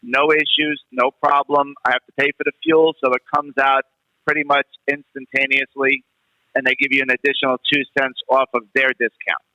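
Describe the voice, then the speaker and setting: Bright, forceful New York male caller. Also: medium pitch, flat delivery, moderate pace, dry recording, poor audio. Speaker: radio show caller. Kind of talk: casual phone-in chat.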